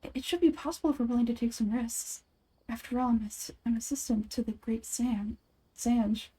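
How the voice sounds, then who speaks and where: A woman's voice: on the high side, steady tone, fairly quick, dark tone, breathy edge, poor audio. A woman reading video game dialogue aloud as an amateur voice actor.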